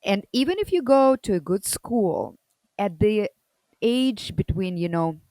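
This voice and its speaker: Loud, energetic woman. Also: mid-range voice, unhurried, dry recording, poor audio. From Soviet Union. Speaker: guest, energy healer. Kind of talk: personal storytelling in interview.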